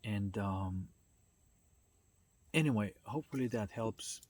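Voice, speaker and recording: A man giving a casual, unscripted philosophical talk in a halting, reflective way, thinking aloud and pausing often. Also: lowish voice, darkish tone. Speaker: spiritual self-development speaker.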